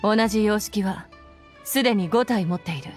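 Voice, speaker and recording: Actress as dutiful game commander: quick, clipped, formal delivery at middle pitch, faint music behind. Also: female voice, darkish tone, close to an everyday voice. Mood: earnest, formal. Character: stern, dutiful female military commander. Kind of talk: Japanese video game voice acting.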